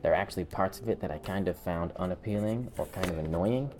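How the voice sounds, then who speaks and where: A man co-hosting a podcast in casual chat, speaking emphatically and haltingly with repeated words as he reviews. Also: deep voice. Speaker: podcast co-host.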